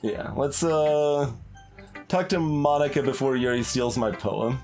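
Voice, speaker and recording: A man's voice: medium pitch, steady tone, unhurried, a little mellow. Male game streamer, mid-range voice, casual and hesitant, thinking aloud over background music. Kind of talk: casual live playthrough commentary. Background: game music, guitar.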